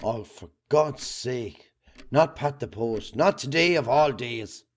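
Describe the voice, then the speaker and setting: A man's voice: mid-range voice, lively intonation, takes it slowly. A man acting a bitter, cantankerous Irish farmer in an amateur drama, curt and sarcastic. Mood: exasperated.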